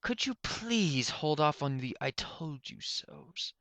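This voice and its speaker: A sardonic unicorn stallion professor from a storybook, acted by a male reader, curt and irritable. The voice is clear and pitched a little above an everyday male tone, with mocking, precise phrasing, recorded very dry. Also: animated, takes it slowly, bright, forceful tone, dry recording, heavily acted. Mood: strained, grumbling.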